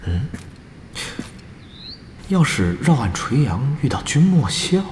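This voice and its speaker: A man's voice: mid-range voice, big pitch swings, slow pace, darkish tone, slight background noise. A man playing a thoughtful, competitive guild swordsman in a Chinese audio drama, given to musing, sighing asides. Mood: pensive. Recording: long hall reverb, music behind.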